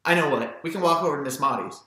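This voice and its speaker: A man's voice: on the high side, fast talker, bright, forceful tone, reverberant. A man voicing a confident, bossy young Southern boy in an audiobook, giving instructions and cautions. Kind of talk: audiobook character voice.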